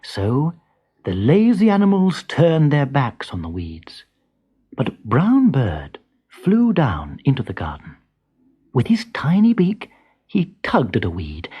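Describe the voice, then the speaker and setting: A storyteller giving an expressive narration of a children's storybook. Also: mid-range voice, slow, breathy edge, muffled recording.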